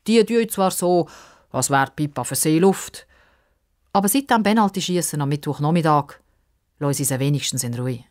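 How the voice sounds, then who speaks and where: A woman's voice: sits low, animated, normal speed, a little mellow. A woman narrating a children's story expressively from a script.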